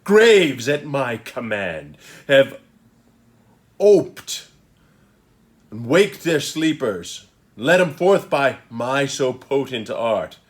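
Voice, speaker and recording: A commanding male magician acted by a man declaims Shakespearean verse. He is solemn and grand, a wronged figure of great power. Each phrase comes slowly and deliberately in a mid-range voice. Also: medium pitch, big pitch swings. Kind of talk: Shakespearean stage soliloquy.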